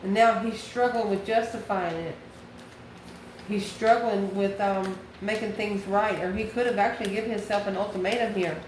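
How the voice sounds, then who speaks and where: A woman's voice: medium pitch, normal speed. Echoey, informal woman tarot reader. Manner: musing, asks and answers own questions. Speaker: tarot reader and channel host. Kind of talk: informal tarot card reading, talking to the viewer. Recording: echoey room with steady background noise.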